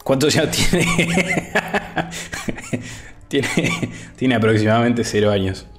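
A man's voice: medium pitch, animated, slow pace, faint music behind. A young man in his twenties with an Argentine accent, chatting casually on a gaming stream in a laid-back, chatty way, with a headset mic at home. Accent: Argentine Spanish. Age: 20s. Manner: laid-back, chatty, says "boludo" often, reads chat aloud. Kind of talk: casual gaming livestream chat. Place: home room, indoors. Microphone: over-ear headset.

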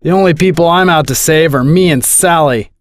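A man's voice: a little high, a little fast, lightly acted. A man playing a brash, selfish, scornful reluctant hero in an action-comedy video game, sarcastic and swaggering.

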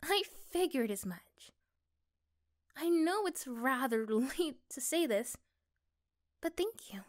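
A woman playing an affectionate, reassuring young girlfriend in a soft, gentle ASMR roleplay. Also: high-pitched, very animated, slow, exaggerated voice. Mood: tender, grateful. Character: affectionate, reassuring young girlfriend chatting intimately to her partner.

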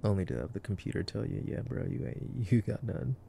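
A young man murmurs casual ASMR movie commentary in a very low voice. It is heavily fried and gravelly, with a dark, mellow tone. His delivery is drowsy and slangy, like relaxed boyfriend roleplay. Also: a little fast, slight background noise. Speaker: ASMR voice performer.

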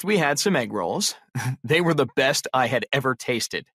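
Animated voice reading a casual script. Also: medium pitch, no echo, studio quality. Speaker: recorded listening exercise speaker. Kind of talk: scripted read-aloud.

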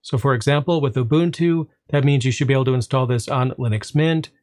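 A man narrating a screen-recorded walkthrough of a server tool, speaking at a middle pitch. He explains each step in an easygoing way and likes a little pause before the payoff. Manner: relaxed explanatory, drawn-out "well" before punchlines. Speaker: tech tutorial host.

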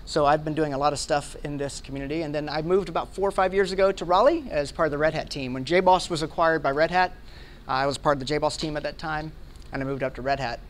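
A man's voice: a little high, fast talker. A man in his forties with an American accent gives an informal tech demo, explaining conversationally and often checking in with his listeners. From Hawaii and Alabama, United States. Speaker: conference speaker, software product manager. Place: indoor meeting room, presenting to a group.

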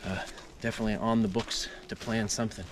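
A low-voiced man hosts a travel vlog with casual narration. He talks in an easygoing, conversational way, with clear music playing behind him. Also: level tone, quick pace.

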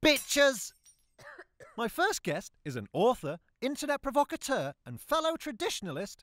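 A man's voice: extremely high pitch, huge pitch swings, unhurried, fairly bright tone, exaggerated voice. A man voicing a smug, foul-mouthed cartoon talk show host with a British accent and a pompous, sneering manner. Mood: smug, showy. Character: smug, self-entitled, foul-mouthed traditionalist TV culture host.

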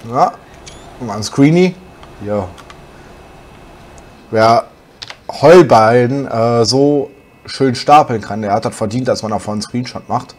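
A young man with a slight cold, hoarse, chatting casually as he plays a truck driving game for his channel. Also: mid-range voice, slow, long pauses. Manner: relaxed, chatty, musing aloud while driving. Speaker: gaming channel host.

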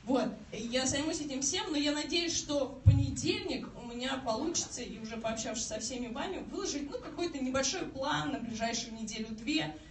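Young woman, fairly high voice, earnest, hesitant, distant mic, roomy echo. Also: fairly quick, hardly any pauses. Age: 20s. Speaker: campaign office coordinator. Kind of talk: addressing a public meeting. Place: crowded meeting room indoors. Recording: echoey room, mic far off, noisy.

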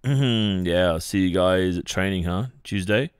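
A man's voice: deep voice, a little slow, clean tone, no echo, clean studio sound. An Australian man playing a friendly, teasing young football captain in a hesitant, casual ASMR boyfriend roleplay. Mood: grateful, winding down. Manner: hesitant, casual, murmuring hums and chuckles.

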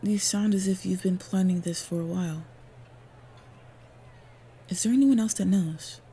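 A woman playing a devoted, protective female orc warrior lover in an intimate fantasy roleplay audio, speaking softly, earnestly and often breathily. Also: medium pitch, a little slow. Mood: worried, hesitant. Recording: clear background ambient noise. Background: ambience sound effects.

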